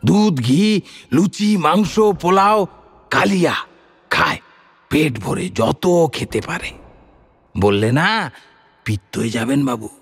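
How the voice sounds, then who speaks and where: A man's voice: a little high, lively intonation, slow, pauses often, fairly bright tone, slightly rough, heavily acted. A man playing an earnest, brooding rural bandit who tells stories and asks indignant rhetorical questions in an audio drama. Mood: wry storytelling. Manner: theatrical, rhetorical questions, addresses a listener as babu. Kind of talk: dramatic Bengali short-story monologue.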